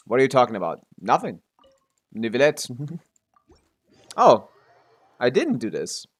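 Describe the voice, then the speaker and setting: A man's voice: mid-range voice, very animated, normal speed. A man streaming a game with casual, rambling, self-questioning commentary. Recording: stream with game music behind.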